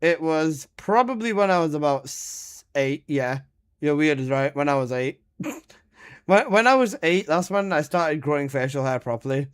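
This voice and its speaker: Joking young man streaming games, fairly high. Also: lively intonation, normal speed, smooth. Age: 20s. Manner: joking, swearing banter with frequent laughs. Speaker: game streamer. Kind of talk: casual live-stream chat reading chat.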